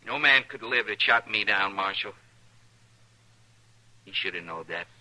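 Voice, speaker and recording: An actor playing a proud, unhinged gunslinger in an old-time radio western, boastful and pressing for approval. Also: male voice, lowish voice, expressive, a little slow, pushed and bright, exaggerated voice, rough recording. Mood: boastful, needling. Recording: vintage radio drama recording.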